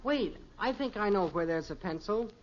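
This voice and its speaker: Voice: mid-range voice, expressive, moderate pace, lightly acted. A performer playing an eager, earnest, boyish teenage son in a 1950s radio sitcom. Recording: muffled, faintly noisy vintage radio recording.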